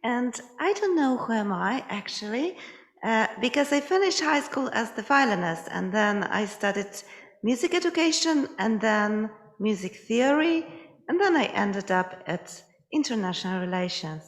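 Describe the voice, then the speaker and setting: A woman, introducing herself at a conference in a lively, self-deprecating way. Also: on the high side, normal speed. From Poland. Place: conference hall. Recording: echoey reverberant hall.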